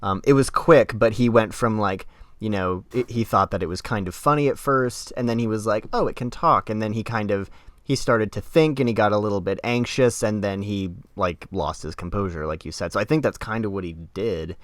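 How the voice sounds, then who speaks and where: A man's voice: lowish voice, brisk, no room sound. A man co-hosting a podcast, casually debating in an emphatic, argumentative way. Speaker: podcast co-host and critic.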